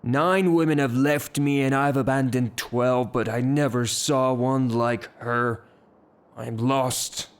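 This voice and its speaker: An actor playing a blustering, lovestruck, hot-tempered gentleman landowner in an audio drama, with a halting, theatrical delivery. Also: medium pitch, fairly even intonation, clear, resonant tone. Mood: frantic, infatuated. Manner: theatrical, halting, repeats himself.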